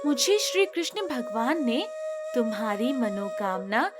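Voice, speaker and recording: A woman plays an enchanted talking water gun in a cartoon moral tale, kindly but stern. The voice is high and solemn, unhurried, with music behind it. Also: big pitch swings. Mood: solemn, warning.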